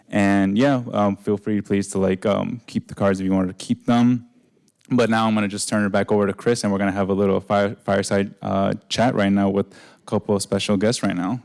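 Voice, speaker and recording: Casual low-voiced man emceeing an event. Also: a little fast, clear, resonant tone. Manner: casual, many fillers, self-described monotone. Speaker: event facilitator. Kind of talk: live event remarks. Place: indoor event venue.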